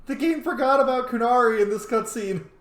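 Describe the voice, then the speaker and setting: A man's voice: very high voice, brisk, fairly bright tone. A man giving casual, slangy, reactive commentary while roleplaying through a video game. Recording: echoey room.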